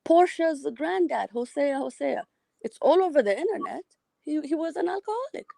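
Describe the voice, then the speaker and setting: A woman's voice: high-pitched, animated, normal speed, gravelly, warm tone, no echo. A woman from Ethiopia with an Ethiopian accent, calling in and rambling through philosophical musings, often repeating words. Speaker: caller. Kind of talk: casual call-in chat.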